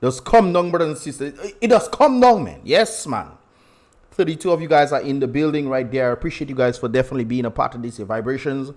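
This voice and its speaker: A man's voice: mid-range voice, fast talker, a little mellow. A young man with a Dominican accent hosting a casual livestream, chatty and laughing often as he greets viewers. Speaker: livestream host.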